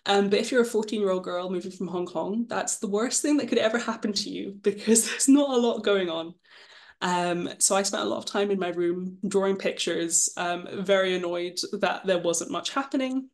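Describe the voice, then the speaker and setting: A woman's voice: medium pitch, normal speed. A woman in her twenties, from Scotland, casually presenting her path as an illustrator, chatty and self-deprecating, with frequent ums. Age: 20s. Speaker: guest illustrator.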